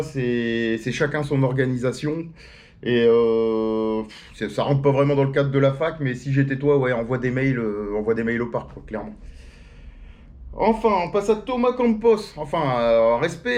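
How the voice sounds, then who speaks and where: A man talks straight to his viewers in a loose, slangy way, answering their questions and joking along. His pitch sits in the middle for a man. He often jumps much higher to stress a point. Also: darkish tone. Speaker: theme-park YouTuber answering viewer questions. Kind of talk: casual chat, FAQ to camera.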